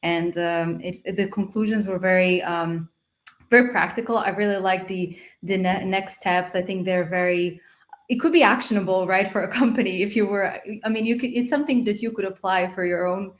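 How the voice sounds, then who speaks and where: A woman's voice: medium pitch, fairly even intonation, moderate pace. A woman instructor giving casual, encouraging feedback on a video call, often repeating her phrases.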